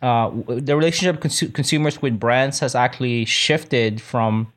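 A man's voice: mid-range voice, fairly bright tone. A man hosting a business podcast, reading the intro and sponsor spots with enthusiasm, then interviewing his guest with eager restarts. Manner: enthusiastic, quick ad reads with restarts. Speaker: podcast host.

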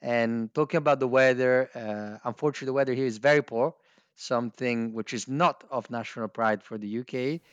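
Guest: Italian-accented man, mid-pitch, joking and friendly, dry close mic. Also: a little fast, clear, resonant tone, no room sound, lo-fi sound. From Italy. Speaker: guest, tech company co-founder. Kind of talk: casual podcast interview.

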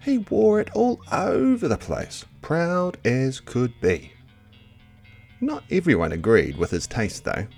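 A man narrates a picture book with very lively, swooping intonation. He takes his time over each phrase, in a measured storytelling way, with soft music behind him. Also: medium pitch, vocal fry. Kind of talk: expressive picture book read-aloud.

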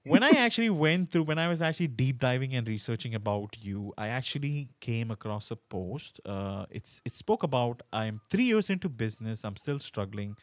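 A man's voice: medium pitch, lively intonation, normal speed, hardly any pauses, dry recording. A man hosting a podcast, talking conversationally to listeners and guests in an emphatic way. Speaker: podcast host.

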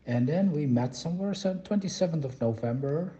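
A man's voice: on the high side, animated, fairly quick, mellow. A man chatting casually and personally as an interviewee. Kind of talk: casual chat.

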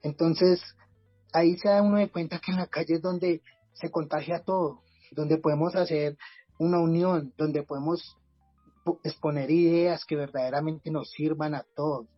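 A man's voice: fairly high pitch, steady tone, moderate pace, slightly rough, dry recording, highs cut off, soft background music. A man from Bogotá with a Colombian accent, sharing his personal story earnestly and reflectively as a podcast guest, often restarting his words. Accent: Bogotá Colombian Spanish. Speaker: guest, community project leader. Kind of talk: personal testimony in a podcast conversation.

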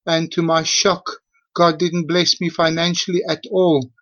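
Slow, high-pitched South African man in his fifties. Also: level tone, a bit bright. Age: late 50s. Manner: earnest, halting, emphatic, repeats himself. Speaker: voicemail caller. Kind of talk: personal testimony in a voicemail message. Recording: dull lo-fi voicemail audio.

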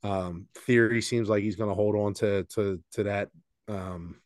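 Low-ish guy on a podcast, hesitant, lots of ums, dry close mic. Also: steady tone, measured pace, darkish tone, no room sound. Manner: hesitant, many fillers and restarts. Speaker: podcast co-host. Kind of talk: casual wrestling podcast chat.

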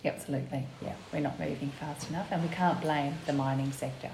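A woman's voice: sits low, fairly even intonation, fast, a little mellow. An Australian woman, raised in Western Australia and first speaking Italian, talking as a podcast guest in a confident, emphatic way with frequent agreement. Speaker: guest, executive officer of a gender equity group. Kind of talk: conversational podcast interview. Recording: echoey room with faint noise.

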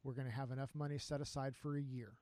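A man speaks as an interview guest. He tells his story in an earnest, unhurried way, with a mid-range voice. The recording is dry and close. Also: medium pitch, level tone, mellow, no echo. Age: 50s. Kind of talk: casual interview chat.

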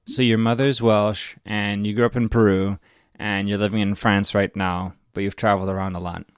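A man's voice: lowish voice, little pitch movement, normal speed, clean tone, no room sound, studio quality. A man hosting a podcast interview online, chatty and playful. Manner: chatty and playful, with self-deprecating asides. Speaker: podcast host. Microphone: remote online recording.

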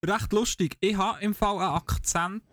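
Chatty Bernese Swiss German man, higher voice. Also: steady tone, normal speed, fairly bright tone, no room sound, studio quality. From Bernese Oberland, Switzerland. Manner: chatty, laughs often. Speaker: guest. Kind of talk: casual podcast chat.

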